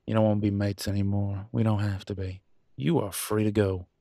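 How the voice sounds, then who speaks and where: A man's voice: on the low side, flat delivery, fairly quick, dark tone, no room sound, studio quality, lightly acted. A man playing a proud, wounded werewolf husband and country vet in a roleplay audio drama, with a drawl and a folksy, bitter, raw way of talking. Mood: bitter, sarcastic. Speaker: voice actor. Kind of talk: dramatic roleplay audio, one side of a couple's argument.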